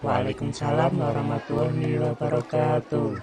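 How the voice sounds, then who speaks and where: A young man playing a polite high school pupil in a student-made radio drama. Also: level tone, fairly quick, loud music behind the voice, lightly acted. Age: young adult. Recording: noisy crowd chatter, amateur recording.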